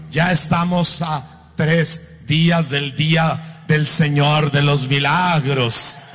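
A man preaching a sermon to a congregation, speaking slowly and building to fervent, shouted repetition. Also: a little high. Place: church hall with congregation. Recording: long hall reverb with faint music.

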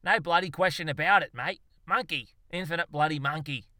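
A man's voice: a little high, expressive, brisk, pushed and bright, no room sound, clean studio sound. A man voicing a fervent, exasperated Australian zoologist in a comic story, ranting emphatically and swearing. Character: exasperated, blustering Aussie bloke zoologist, manically fervent.

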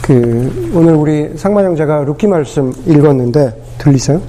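A man preaching a sermon as pastor, his voice hoarse from a cold, talking unhurriedly with many pauses and fillers. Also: on the high side, animated, mellow, a little hiss or hum.

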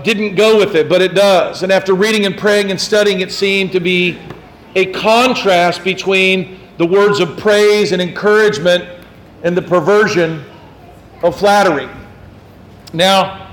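A man's voice: high-pitched, rough recording. A man preaching a sermon as pastor, in a conversational way, asking the congregation questions and teasing them.

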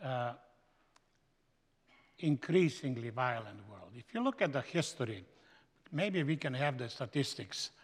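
A man with a Slovenian accent, a guest speaker giving a slow, emphatic sermon in a seminary chapel. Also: mid-range voice, lively intonation, breathy edge. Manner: slow, emphatic preaching with stretched-out phrases. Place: large chapel. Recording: echoey hall with long reverb.

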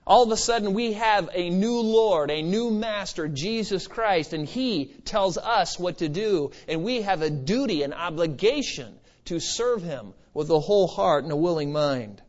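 A man's voice: sits high, expressive, unhurried, hardly any pauses. A man preaching an expository sermon in an emphatic, exhorting manner with conversational asides. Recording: slightly dull, lo-fi sermon recording.

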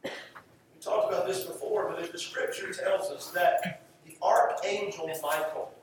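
High-pitched American preacher in an echoey space. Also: male voice. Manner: emphatic, rhetorical repetition with deliberate pauses. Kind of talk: sermon to a congregation. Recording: echoey, distant mic, faint background noise.

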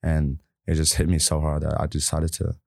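A man from Burma, a podcast guest telling his faith story in a casual, soft-spoken way with many fillers. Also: rumbling low, completely flat delivery, moderate pace, gravelly, no echo, clean studio sound. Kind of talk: casual testimony.

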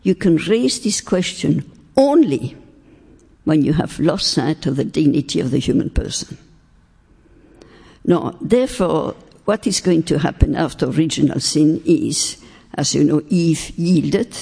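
A woman's voice: low-pitched, animated, creaky, dark tone, breathy edge. Older woman lecturing in a hall. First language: French. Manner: deliberate, emphatic, stretches key words. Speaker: speaker on faith and philosophy. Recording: long hall reverb.

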